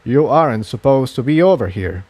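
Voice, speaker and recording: A man voicing an elderly male pony priest, gently stern and soft-spoken, in a storybook reading. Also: medium pitch, expressive, moderate pace, close to an everyday voice. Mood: stern, then welcoming.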